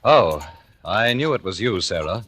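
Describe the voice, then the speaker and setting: An actor playing a peevish, suspicious husband in a 1940s radio play, formal and sardonic in manner. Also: male voice, on the low side, big pitch swings, unhurried, a bit bright. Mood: irritated. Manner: formal, fussy, sardonic "my dear". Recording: noisy 1940s radio recording.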